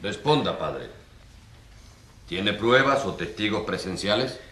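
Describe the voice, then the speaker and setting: An actor playing a stern, measured judge, formal and authoritative, in a radio drama. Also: male voice, on the low side, lively intonation, fairly bright tone. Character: stern, measured male judge presiding over a trial. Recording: echoey, noisy courtroom-like sound.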